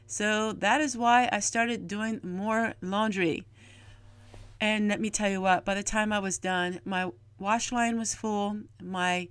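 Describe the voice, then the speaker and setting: A middle-aged woman talks through everyday homestead tasks as a vlogger. Her voice sits at an ordinary pitch for a woman, with a dry, close sound free of room echo. She is plain and folksy in how she explains things. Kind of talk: homemaking vlog narration, explaining chores and cooking.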